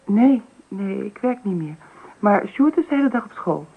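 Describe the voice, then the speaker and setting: An actress playing a gentle, slightly anxious young mother in a radio play, speaking with care. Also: mid-range voice, animated, normal speed, gravelly, slightly rough, phone-quality sound. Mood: careful, earnest. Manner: careful, hesitant, proud of her child. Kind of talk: Dutch radio play acting. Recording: faintly noisy old radio recording.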